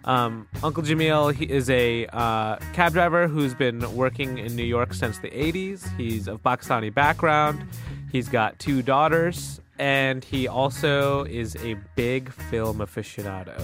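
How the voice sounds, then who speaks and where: A man's voice: mid-range voice, a bit bright. A young man hosting a podcast in a studio, chatting casually, laughing often and stumbling over words. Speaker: podcast host. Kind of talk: casual conversational interview.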